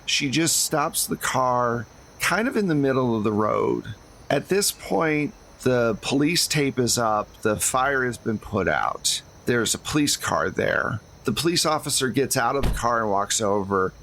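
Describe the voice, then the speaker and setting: A man runs a tabletop roleplaying game as game master, with a mid-pitched voice. He improvises scene description and chats with players between narration, over faint background noise. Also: animated, measured pace, a bit bright.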